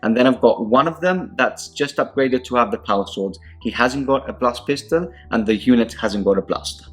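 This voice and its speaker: A man's voice: medium pitch, moderate pace, fairly bright tone, soft background music, rough recording. A man whose first language is Spanish, hosting a tabletop wargame show with casual, unscripted rundowns. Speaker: host of a tabletop wargame battle report show.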